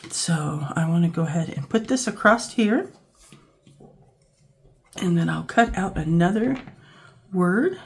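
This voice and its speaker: A woman with a scratchy, hoarse voice from a cold, casually talking through a craft project as she works, thinking aloud. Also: fairly low pitch, a little slow, long pauses. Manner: relaxed, thinking aloud while working with her hands. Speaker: craft channel host. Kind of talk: casual crafting tutorial narration.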